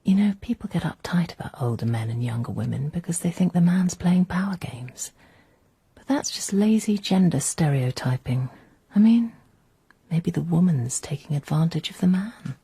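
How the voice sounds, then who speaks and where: An actress playing a clever, forthright younger woman with a husky, seductive manner in a radio drama. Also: on the low side, expressive, moderate pace, mellow, no echo, heavily acted. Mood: frank, seductive. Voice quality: husky, breathy.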